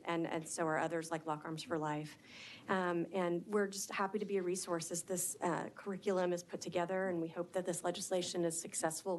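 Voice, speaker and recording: A woman's voice: on the low side, slight background noise. A woman testifying as an advocate before a committee, speaking formally but hesitantly, with frequent fillers.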